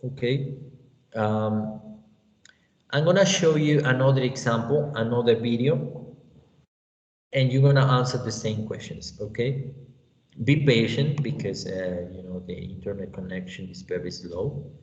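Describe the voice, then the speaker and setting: A man with a Mexican accent teaching an online English class, unhurried and often checking in with "you know" and "okay?". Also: mid-range voice, a little mellow. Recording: echoey, roomy, dull lo-fi sound with faint hiss.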